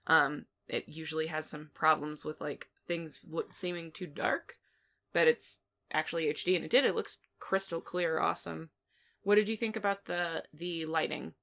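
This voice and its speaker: A woman's voice: deep voice, steady tone, normal speed, gravelly, a bit bright. A woman co-hosting a film review podcast, talking casually and informally with some mild swearing. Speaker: podcast co-host reviewing films.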